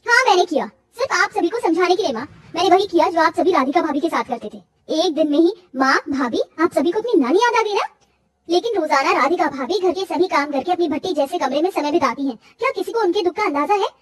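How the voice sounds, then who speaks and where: A woman's voice: very high voice, expressive, fast. A woman voicing a caring, outspoken young daughter in a cartoon family story, earnest and assertive. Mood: indignant. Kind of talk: cartoon moral-story acting.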